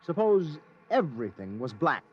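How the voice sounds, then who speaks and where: Persistent reporter on muffled radio. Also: male voice, fairly high pitch, very animated, moderate pace. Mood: probing. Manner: questioning, slightly hesitant. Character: probing, persistent male newspaper reporter. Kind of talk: old-time radio drama acting. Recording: dull, noisy old radio recording.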